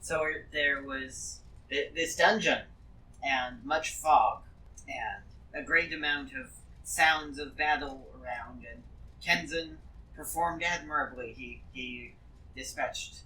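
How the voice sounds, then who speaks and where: A man playing a polite, flustered adventurer who speaks formally and haltingly in a tabletop game. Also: medium pitch, bright, forceful tone. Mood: halting, evasive. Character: polite, flustered, formal-spoken male adventurer recounting his travels. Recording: distant mic with faint noise.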